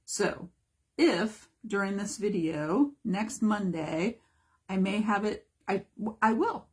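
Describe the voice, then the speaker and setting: A chatty woman art teacher talks through her craft demo live from her home studio, rambling a little and stopping to greet viewers. Her voice is hoarse and breathy, with an even, mid-height tone. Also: mid-range voice, slow. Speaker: mixed media art teacher. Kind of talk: casual live craft demonstration.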